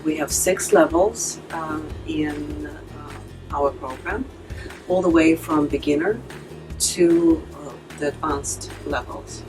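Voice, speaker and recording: A woman, an academic director, speaking carefully about her program with frequent pauses. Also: sits low, fairly even intonation, music in the background, poor audio. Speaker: academic director of a language institute. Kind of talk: prepared statement.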